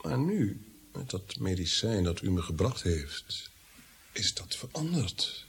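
A tormented prince in a radio play, low and very husky. His delivery is theatrical and swings widely from hushed, haunted awe to agitated outbursts. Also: big pitch swings, slow, heavily acted. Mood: bitter, melancholy. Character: brooding, tormented nobleman prince, haunted by guilt and visions. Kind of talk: Dutch radio drama acting.

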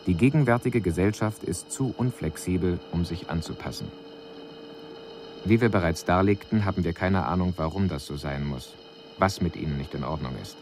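An actor playing a grave, detached alien observer, reporting formally and in measured phrases in a radio drama. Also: male voice, low voice, a little mellow, slight background noise. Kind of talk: scripted radio drama monologue.

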